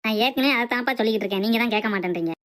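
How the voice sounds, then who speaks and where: A woman's voice: on the high side, very fast, fairly bright tone, close to an everyday voice. A woman voicing a cheeky, food-loving young son in a comic cartoon.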